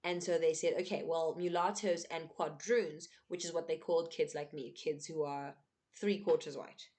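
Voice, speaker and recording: Brief: young South African woman vlogger, a touch low-pitched, earnest and emphatic, unscripted talk peppered with "um" and "right?". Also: steady tone, normal speed, darkish tone. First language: not English. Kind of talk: unscripted commentary to camera.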